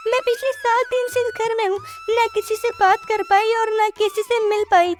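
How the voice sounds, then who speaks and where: A woman's voice: very high voice, little pitch movement, moderate pace. A woman playing a young, self-justifying daughter-in-law with a plaintive manner in a scripted moral story cartoon. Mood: distressed. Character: young working daughter-in-law, dismissive, later distressed. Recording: music behind the voice.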